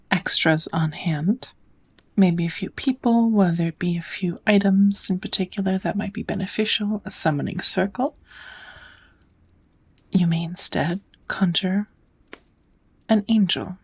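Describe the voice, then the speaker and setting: A woman playing a kind witch who patiently teaches magic in a soft, often whispered ASMR roleplay voice. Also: mid-range voice, breathy edge. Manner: patient, encouraging, unhurried teaching. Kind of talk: ASMR roleplay, whispered lesson to one listener.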